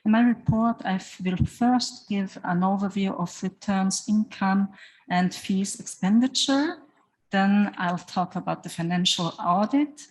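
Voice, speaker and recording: A woman whose first language is German, presenting a formal financial report as an association's treasurer, in a measured and careful way. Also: medium pitch, a little mellow, low-quality recording.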